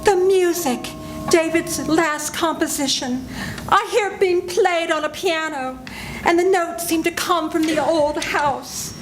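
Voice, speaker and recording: A woman's voice: extremely high pitch, unhurried, over music, poor audio. A woman playing a frightened widow in a live old-time radio drama, speaking anxiously and on the edge of tears. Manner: anxious, tearful, pleading. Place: senior center stage.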